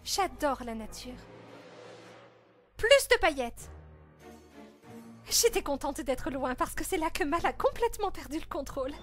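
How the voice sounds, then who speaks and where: A woman's voice: high voice, sing-song, a little slow, long pauses, soft background music. A woman voicing a spoiled, jealous teenage princess with a snooty, dramatic manner in a fan-dub narration. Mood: boastful.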